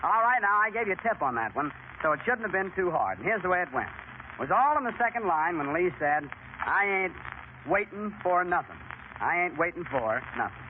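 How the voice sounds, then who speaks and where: Male quiz host, high voice, chatty showman delivery, 1930s radio style, tinny hissy broadcast. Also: very animated, brisk.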